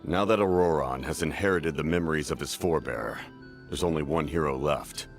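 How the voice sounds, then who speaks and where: A man voicing a stern, aloof military commander in a video game, speaking in measured, formal phrases. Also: rumbling low, faint music behind. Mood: grudging, stern.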